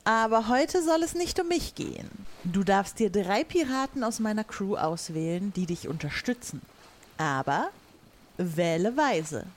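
A woman's voice: mid-range voice, animated, a little slow, a little hiss or hum, close to an everyday voice. Woman playing a solemn, commanding pirate captain. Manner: ceremonious, solemn, old-fashioned phrasing. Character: commanding female pirate captain addressing her crew. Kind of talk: improvised audio play acting.

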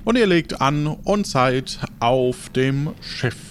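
A man's voice: medium pitch, expressive, slow pace, a little hiss or hum. A man narrating an audio role-playing game as its host and game master. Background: music.

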